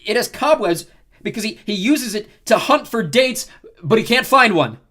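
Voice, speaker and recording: A man's voice: high-pitched, sing-song, fairly quick, fairly bright tone, pitched above the performer's own voice. A man voicing a cocky, hyperactive teenage boy in an audiobook, brash and swaggering as he shows off. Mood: boastful, mocking. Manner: swaggering, brash, exaggerated bragging. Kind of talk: audiobook character voice.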